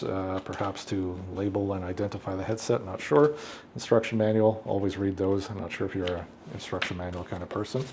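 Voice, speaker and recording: A man's voice: lowish voice, background noise. A middle-aged man hosting an unboxing, talking casually and unscripted, thinking aloud as he goes. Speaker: unboxing video host, AV professional.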